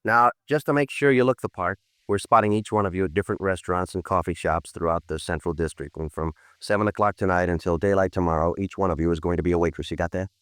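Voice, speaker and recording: Actor as deadpan, low-voiced police sergeant. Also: male voice, fast talker, hardly any pauses, no echo, clean studio sound, lightly acted. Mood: terse, businesslike. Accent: American. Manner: deadpan, matter-of-fact. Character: meticulous male police sergeant, terse, weary, narrating his cases. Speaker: detective and narrator. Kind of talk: 1950s crime radio drama, clipped narration. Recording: 1950s radio recording with music behind. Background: dramatic music.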